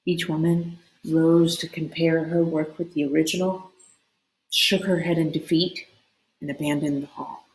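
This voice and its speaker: A woman with a fairly low voice narrates a storybook aloud. She reads expressively, leaning on dramatic words, in an echoey room.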